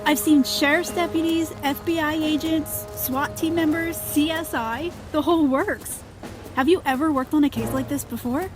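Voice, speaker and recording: A woman's voice: high-pitched, steady tone, normal speed, close to an everyday voice. A woman playing a young, eager and inquisitive junior wildlife agent in an audio drama. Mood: amazed. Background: background music.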